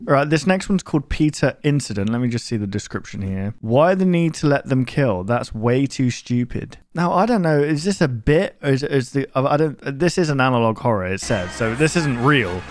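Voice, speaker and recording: A man's voice: mid-range voice, animated, moderate pace, few pauses, no room sound, studio quality. A man giving casual, rambling reaction commentary in a jokey, incredulous manner. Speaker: YouTuber reacting to horror videos.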